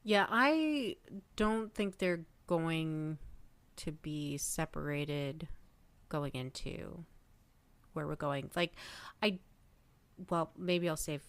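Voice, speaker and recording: A woman with a smooth, clear, slightly low voice talks as a podcast guest. She takes her time, yet sounds keen and emphatic. The style is casual fan discussion. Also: lively intonation, very slow, pauses often, no room sound. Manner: enthusiastic, emphatic, sweary.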